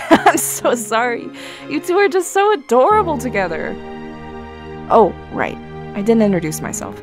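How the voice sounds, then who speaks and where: A woman voicing a playful, teasing young huntress and bodyguard in an animated fan series. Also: fairly high pitch, normal speed. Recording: background music under the voice.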